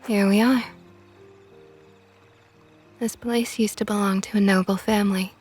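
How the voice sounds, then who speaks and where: A woman voicing a possessive, flirtatious female vampire knight in an ASMR roleplay, talking softly and often in a whisper with a teasing tone. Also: medium pitch, steady tone, measured pace, soft background music, lightly acted. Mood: tender teasing.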